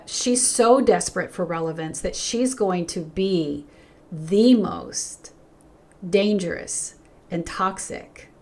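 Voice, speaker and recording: An older woman pastor hosting a livestream, talking earnestly and reading out viewer comments while she is losing her voice. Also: mid-range voice. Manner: earnest and emphatic, with frequent restarts and a lively rise when greeting viewers. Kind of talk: unscripted livestream talk, reading chat comments.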